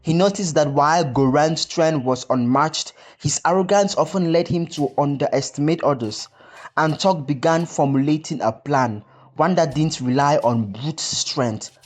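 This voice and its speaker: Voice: medium pitch, a little mellow. A storyteller reading a scripted folktale narration.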